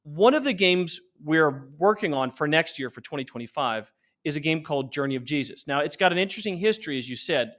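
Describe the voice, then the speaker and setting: A man in his forties with a slightly high voice, talking as a guest in an interview. He explains things earnestly and conversationally, often doubling back to restart a sentence. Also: lively intonation, brisk, a bit bright. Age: 40s. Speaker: interview guest, company founder.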